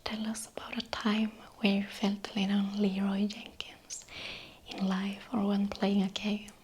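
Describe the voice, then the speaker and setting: A young woman with a Swedish accent whispering softly in an ASMR question-and-answer video, unhurried, with small hesitations. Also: mid-range voice, completely flat delivery, slight background noise. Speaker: ASMR creator.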